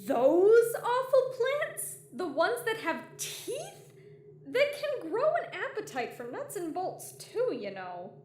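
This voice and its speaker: A woman's voice: very high voice, huge pitch swings, slow pace, a little mellow, heavily acted. A woman playing a sarcastic, slangy starship captain in a science fiction audio drama. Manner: casual, sardonic, slangy. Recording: roomy echo, faint noise.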